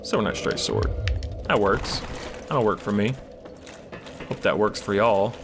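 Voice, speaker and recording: A man's voice: on the low side, lively intonation, a little slow, background noise. A man casually commenting while he plays a video game, rambling and swearing, losing his voice and coughing. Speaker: gaming channel host. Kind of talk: casual let's play commentary.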